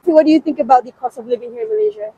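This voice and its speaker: A woman street interviewer with a high, mellow voice who talks quickly. She asks brisk, short questions. She is outdoors on a street, with faint ambient sound behind her. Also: sing-song, gravelly, highs cut off, a little hiss or hum. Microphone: holding a handheld mic toward others.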